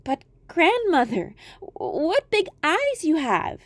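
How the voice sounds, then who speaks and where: Innocent storybook child, played by a woman: girlish high pitch, earnest, well-mannered, trusting, animated melody. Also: takes it slowly, no room sound, heavily acted. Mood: amazed. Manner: sweet, polite, earnest. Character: polite, innocent, trusting little girl.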